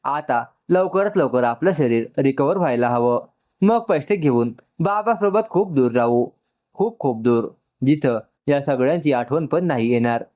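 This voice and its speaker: A man's voice: medium pitch, expressive, unhurried. Male storyteller, slightly dull lo-fi recording. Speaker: story narrator. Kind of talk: scripted narration of an emotional story.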